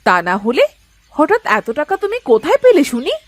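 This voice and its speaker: A woman's voice: high voice, sing-song, brisk, dry recording. An actress voicing a fisherman's wife in a cartoon, suspicious and scolding, peppering him with questions. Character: sharp-tongued village fisherman's wife. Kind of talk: Bengali cartoon voice acting.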